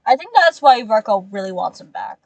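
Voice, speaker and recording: A woman casually reacting to a story game as she plays, excitable and exclamatory, with casual swearing. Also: a little high, brisk, vocal fry, lo-fi sound. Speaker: game streamer.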